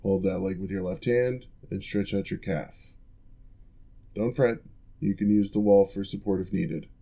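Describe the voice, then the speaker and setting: A man voicing a former orc general who now works as a patient physical therapist, giving calm, measured instructions in an ASMR roleplay. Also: low voice, gravelly, heavily acted. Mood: instructive.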